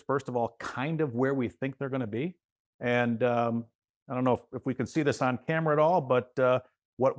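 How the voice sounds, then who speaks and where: A man speaking as a guest expert, giving casual practical advice in a loose, halting way that circles back. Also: mid-range voice.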